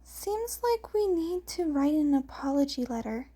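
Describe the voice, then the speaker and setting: Storybook young twin boy read by a woman: soft, uncertain, pitched high. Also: very animated, measured pace. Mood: playful.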